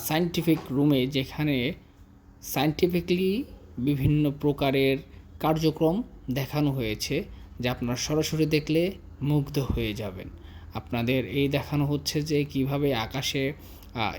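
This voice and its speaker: A man's voice: on the high side, darkish tone. A man with a Bangladeshi accent narrating a guided tour as a travel vlog host, explaining things directly to the viewers. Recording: music laid behind the voice.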